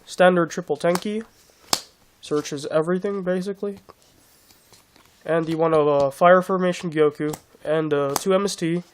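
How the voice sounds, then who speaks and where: Hoarse young man talking through his deck. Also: on the high side, steady tone, moderate pace, pauses often, slight background noise. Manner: rambling, many restarts and fillers. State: hoarse from being sick. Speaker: card game deck reviewer. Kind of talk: casual deck profile walkthrough.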